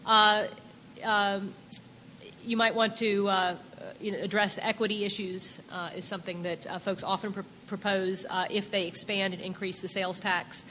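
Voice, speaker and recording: A woman's voice: mid-range voice, little pitch movement, moderate pace, pushed and bright, a little hiss or hum. A woman on a conference panel explaining tax policy, hesitant and full of filler sounds. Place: conference hall. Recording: echoey conference hall.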